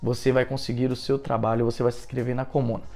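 A man's voice: mid-range voice, little pitch movement, fast, a little mellow. A man in his thirties with a Brazilian Portuguese accent, earnestly giving immigration advice to camera from his living room on a lapel mic. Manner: earnest, explanatory, gestures a lot with his hands. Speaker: immigration vlogger. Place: living room at home, indoors.

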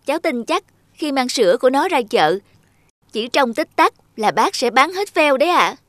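A woman voicing a lazy, dreamy young man in a cartoon, a breezy flatterer given to exclamations. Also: sits high, moderate pace. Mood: flattering. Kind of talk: scripted cartoon dubbing.

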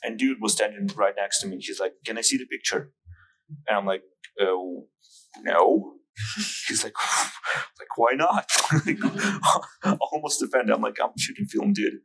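A man's voice: on the low side, animated, unhurried, a bit bright, slightly rough, low-quality recording. A man from Ukraine with a Ukrainian accent, chatting casually and telling anecdotes on a podcast. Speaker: podcast guest, hobby photographer.

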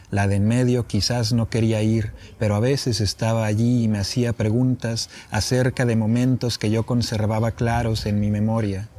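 A young man speaks in a fairly low voice with a northern Mexican accent. He presents and reads his own poetry, seated on an open patio, with some faint ambient noise behind him. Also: dark tone. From Chihuahua, Mexico. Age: young adult. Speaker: poet reading own work. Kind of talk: introducing and reciting a poem. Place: open patio with wooden furniture.

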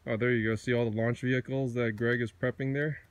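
A man's voice: medium pitch, flat delivery. A middle-aged man casually walking through his outdoor campsite, filming on a handheld phone and pointing out gear, sometimes correcting himself. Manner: unhurried, pointing things out, small self-corrections. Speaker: camper giving a campsite tour. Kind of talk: casual walkthrough narration. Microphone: handheld phone camera, speaker behind it.

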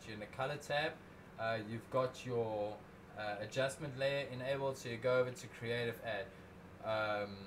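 Casual young man. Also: medium pitch. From South Africa. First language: Afrikaans. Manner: rambling, self-correcting, casual. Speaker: drone video creator. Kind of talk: livestream screen-recorded editing tutorial. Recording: noticeable background noise.

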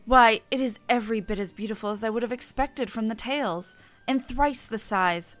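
A woman's voice: fairly high pitch, moderate pace, close to an everyday voice. A woman voicing a genteel, good-natured villager from a fantasy game, with formal, old-fashioned wording. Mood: delighted.

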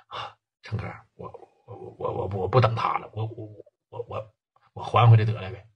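A man's voice: mid-range voice, normal speed, slightly rough, highs cut off, voice pushed down, natural voice. A man voicing a cowed gang boss who stammers and mumbles submissively.

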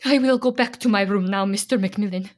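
An actress playing a desperate Slovak immigrant wife in a radio play, pleading and defiant in halting, Slovak-accented English. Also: female voice, medium pitch, close to an everyday voice. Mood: guarded, grieving.

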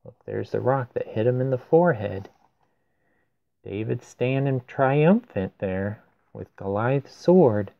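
A man's voice: medium pitch, slow, gravelly, no room sound, highs cut off. A man reading a rhyming children's picture book aloud, adding simple casual explanations for young listeners. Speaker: storyteller.

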